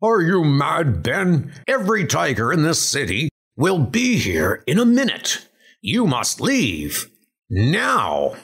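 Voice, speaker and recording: A man's voice: on the high side, wildly swooping pitch, slow, fairly bright tone, breathy edge, exaggerated voice. A man voicing a stern, fretful talking-animal scientist in a comic dub, speaking imperiously. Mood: urgent. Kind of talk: comic dub character acting. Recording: music under the voice.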